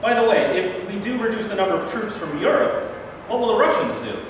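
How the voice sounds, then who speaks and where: Distant, echoing hall recording. Casting: male actor as blunt, impatient wartime president, high-voiced. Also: a little fast, lightly acted. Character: irritable, plain-spoken American president, worried about war casualties. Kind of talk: staged reading of a play. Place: live reading in a large echoing room. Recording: very echoey noisy hall, from far off.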